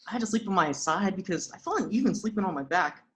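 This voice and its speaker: A woman's voice: mid-range voice, expressive, fast, a bit bright, natural voice. A woman voicing a goofy, oblivious young man with a nonchalant, rambling manner, reading from a script. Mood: cheerfully oblivious. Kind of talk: script read-through.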